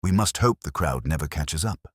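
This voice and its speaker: A very low-pitched, weary detective, as a male narrator plays him, speaks with measured eloquence. The delivery is philosophical and gently wry, with lively swings of pitch in a dry, close storybook recording. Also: slightly rough, dry recording, full character voice. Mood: weary, reflective. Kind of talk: audiobook character dialogue.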